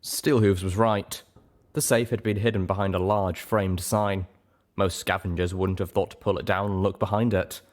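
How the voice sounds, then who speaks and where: Low-voiced man narrating a story. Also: little pitch movement, moderate pace. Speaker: audiobook reader. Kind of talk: expressive scripted story narration.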